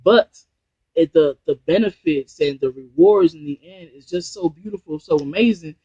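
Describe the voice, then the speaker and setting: A man's voice: on the high side, normal speed, warm tone, husky, no room sound, muffled recording. A man from New Jersey giving rambling, motivational business advice on a casual live stream over a Bluetooth headset. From New Jersey, USA. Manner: rambling, repeats and restarts phrases, motivational. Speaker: online business trainer.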